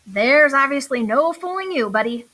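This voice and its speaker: Woman voicing a high, boastful little prickly critter. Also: animated, moderate pace, sharp tone. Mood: sly bluffing. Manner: boastful, theatrical declarations. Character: playful, bluffing male prickly little creature. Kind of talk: children's storybook character voice.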